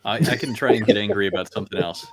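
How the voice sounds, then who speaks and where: A man's voice: on the low side, racing, a loud crowd. A man on a casual podcast panel, speaking with hesitant phrasing and dry humor.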